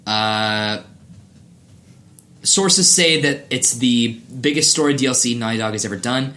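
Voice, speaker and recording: A man's voice: medium pitch, unhurried, sharp tone. A man hosting a podcast in a casual, conversational style, freely giving his opinions. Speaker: podcast host. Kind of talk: casual chat about games and movies.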